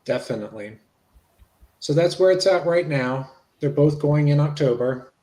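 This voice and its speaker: A man's voice: a little high, expressive, moderate pace, mellow, natural voice. A man playing a polite, reassuring police investigator in a conversational transcript reading, repeating himself as he goes. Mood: matter-of-fact. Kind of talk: dramatic reading of an interview transcript. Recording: echoey room.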